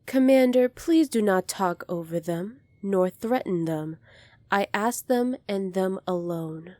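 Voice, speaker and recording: Woman acting as young alien princess, mellow soft voice, regal and polite, kindly but firm, close dry sound, ASMR roleplay. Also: medium pitch, no room sound, natural voice. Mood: stern, authoritative. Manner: polite, measured, regal and formal.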